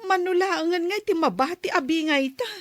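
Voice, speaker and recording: An actress playing a kind-hearted but aggrieved farm wife with an emphatic manner in a radio drama. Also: female voice, very high voice, fairly quick, dry recording, clean studio sound.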